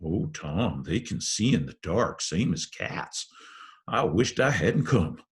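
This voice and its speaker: A man's voice: low-pitched, very animated, takes it slowly, full character voice. A man voicing a superstitious, frightened young boy in a storybook, with rustic, ungrammatical drawling talk.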